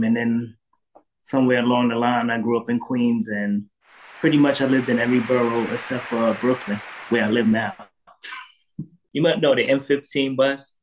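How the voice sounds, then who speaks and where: Animated New man telling stories. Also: medium pitch, rough recording. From Harlem, New York. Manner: animated, laughs through stories. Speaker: guest. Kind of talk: casual storytelling.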